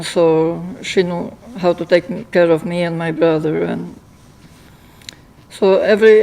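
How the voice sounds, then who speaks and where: A man in his thirties from Greece with a Greek accent, giving a personal testimony in a plain, halting way. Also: sits high, a little mellow, rough recording. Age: 30s. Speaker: patient speaker telling their own story. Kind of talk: personal testimony in a public talk.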